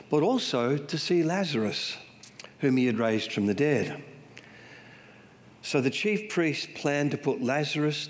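An Australian man preaching in a church, his voice thickened by a cold. He reads scripture and jokes at his own expense, and the sound carries a long hall reverb behind him. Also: mid-range voice. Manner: self-deprecating humor, emotional. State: has a cold. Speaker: guest preacher. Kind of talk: sermon with scripture reading. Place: church sanctuary.

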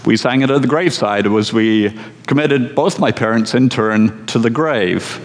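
A man from Scotland, preaching a sermon as pastor in a measured, conversational way with dry humor. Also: medium pitch, big-room sound. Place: church.

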